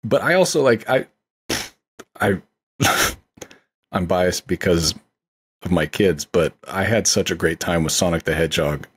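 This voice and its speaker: A man co-hosting a casual chat, enthusiastic and quick to agree. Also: deep voice, breathy edge. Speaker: podcast co-host.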